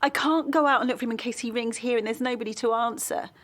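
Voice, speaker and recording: A woman's voice: on the high side, fairly even intonation, fast talker, fairly bright tone, close to an everyday voice. Earnest mum, acted by a woman. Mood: anxious. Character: Alice, earnest, protective Essex mother. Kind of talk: naturalistic audio drama acting.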